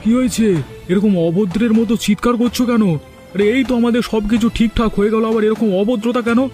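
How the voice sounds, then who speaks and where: Hot-headed cartoon fish seller, raised and high-pitched, played by a man. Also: fairly even intonation, soft background music, lightly acted. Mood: indignant. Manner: loud, quick to anger. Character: hot-tempered middle-aged fish seller. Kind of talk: cartoon voice acting.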